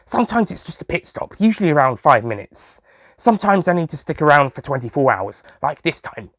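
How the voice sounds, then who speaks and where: A man's voice: fairly high pitch, very animated, brisk, fairly bright tone, dry recording, studio quality. A man reading scripted story narration. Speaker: writer and narrator.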